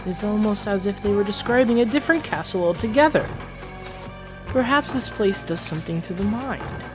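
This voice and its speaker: Performer as haughty prince with animated delivery. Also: medium pitch, brisk, music in the background, lightly acted. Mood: wary, puzzled. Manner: theatrical, formal, dramatic. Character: Prince Johannes, proud, haughty, entitled eldest royal son on a quest. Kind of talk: dramatic fantasy journal narration, fan dub.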